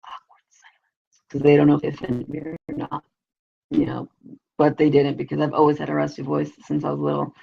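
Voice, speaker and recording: Gravelly, raspy woman rambling casually. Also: a little fast, long pauses, lo-fi sound. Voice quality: low, raspy voice. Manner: rambling, crude, constant "you know" fillers. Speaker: vlogger, show host. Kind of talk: casual live video chat.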